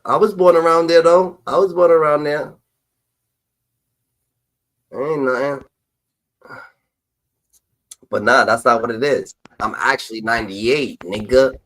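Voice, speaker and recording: A man's voice: mid-range voice, a little slow, pauses often, fairly bright tone. A man in his twenties in casual livestream chat, talking emphatically and preaching, repeating catchphrases. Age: 20s.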